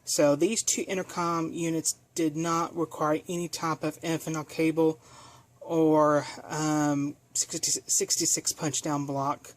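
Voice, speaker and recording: A speaker giving a casual hands-on equipment demonstration in a halting, self-correcting way. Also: mid-range voice, little pitch movement. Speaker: video presenter demonstrating equipment.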